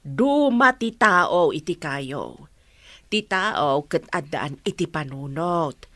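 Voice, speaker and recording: A woman's voice: low-pitched, lively intonation, slow pace, pushed and bright, dry recording, studio quality, full character voice. A woman with an Ilocano accent playing a fair-minded, reasoning village woman in a radio drama. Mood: reproving.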